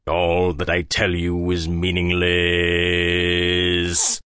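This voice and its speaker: A man's voice: on the low side, very animated, fairly bright tone, no room sound, studio quality. An actor playing a solemn, sardonic, crude-mouthed sect leader who intones his words in an audiobook drama. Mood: solemn chanting. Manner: slow, solemn intoned chanting.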